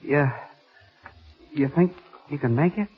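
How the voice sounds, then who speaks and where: An actor playing a wronged, earnest young prisoner in a 1940s radio drama, agitated and hesitant in delivery. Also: male voice, a little high, takes it slowly, husky, full character voice. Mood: upset. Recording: dull lo-fi 1940s radio recording.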